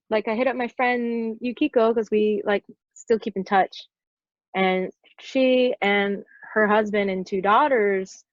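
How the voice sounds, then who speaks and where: A woman's voice: medium pitch, no room sound. A woman in her forties, a guest on a podcast, chatting casually and rambling through her stories with plenty of "like" fillers. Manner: rambling storyteller, lots of "like" fillers. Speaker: podcast guest, stunt performer. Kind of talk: casual interview chat. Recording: dull, dry lo-fi sound.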